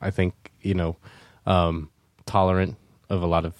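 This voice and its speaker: Deep-voiced man, rambling and hesitant. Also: little pitch movement, moderate pace, no echo, studio quality. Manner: rambling, hesitant, many fillers and restarts. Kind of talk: casual podcast chat.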